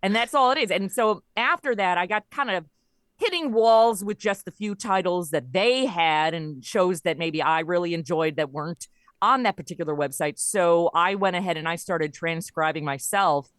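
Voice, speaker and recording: A woman's voice: fairly low pitch, moderate pace, fairly bright tone, no echo. A woman speaking as an interview guest, telling stories in a casual, conversational way. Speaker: podcast guest, audio comedy creator.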